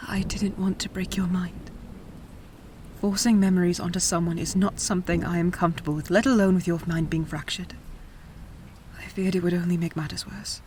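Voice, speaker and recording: A woman's voice: fairly low pitch, fairly even intonation, fairly quick, a little mellow, a little hiss or hum, lightly acted. A woman acting a devoted, worried friend in a fantasy audio drama, speaking earnestly and carefully. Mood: sad, tender. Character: Nadia, devoted, worried magical female friend. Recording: music under the voice.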